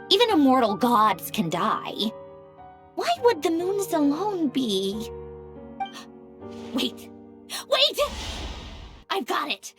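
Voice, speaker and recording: A woman voicing an excitable, obsessive young researcher in a video game cutscene, thinking aloud in theatrical bursts. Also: sits high. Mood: excited. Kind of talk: animated game cutscene voice acting.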